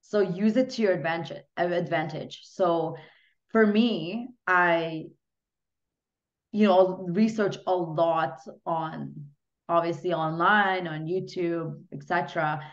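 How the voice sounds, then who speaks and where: A woman's voice: fairly low pitch, fairly even intonation, takes it slowly. A young woman from Hungary, a podcast guest, chatting casually in a small home room with lots of "you know" and "like". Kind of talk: casual interview chat. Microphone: laptop webcam mic on a video call. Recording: dull lo-fi video call audio.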